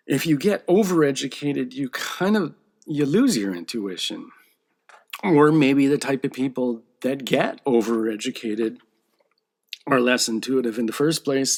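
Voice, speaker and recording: Man, comic artist, gravelly voice, mid-range pitch, rambling casual talk. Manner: meandering, often checks in with "okay?".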